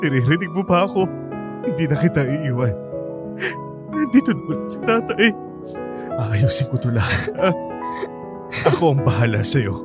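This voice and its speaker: A man's voice: a little high, big pitch swings, moderate pace, pauses often, over loud music. An actor playing a hardworking father back from abroad, tender with his child and bitter at his cheating wife. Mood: pleading. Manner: pleading then bitterly mocking. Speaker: Karding, the father. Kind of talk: radio drama acting.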